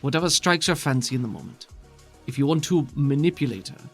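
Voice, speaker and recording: A man voicing a skeptical, wary female plant-being scientist in a formal, measured way for a tabletop game. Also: medium pitch, expressive, breathy edge, soft background music. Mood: exasperated. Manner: formal, measured, avoids contractions.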